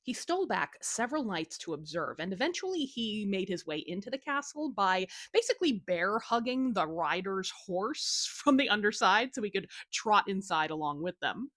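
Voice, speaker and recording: A woman's voice: medium pitch, sing-song, normal speed, hardly any pauses, a bit bright, no room sound. A woman retelling a folktale as a storyteller, in a casual, cheeky and informal way from a script. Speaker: storyteller, host.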